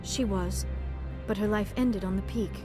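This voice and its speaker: An actress playing a calm female alien scientist in a video game, speaking formally and deliberately. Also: mid-range voice, music in the background, natural voice. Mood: sombre. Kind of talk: video game character acting.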